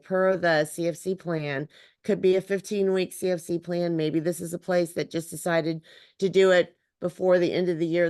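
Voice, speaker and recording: A woman leads a virtual training session, her lowish voice a little scratchy from allergies. She explains in a steady, methodical way and checks in with "right?" as she goes. The sound is dry and close. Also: no echo. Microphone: video call.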